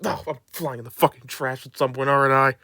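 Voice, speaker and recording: A man's voice: mid-range voice, fairly even intonation, a little fast, sharp tone, no echo, clean studio sound. A man voicing a clumsy, groggy male steam engine who stammers and hesitates in a comic cartoon parody.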